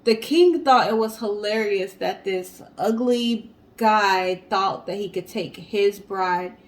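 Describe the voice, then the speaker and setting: A woman co-hosting a show, telling stories and chatting casually to the audience in an animated way. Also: mid-range voice, slow. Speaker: co-host of a storytelling guessing show. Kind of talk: casual storytelling and chat to viewers.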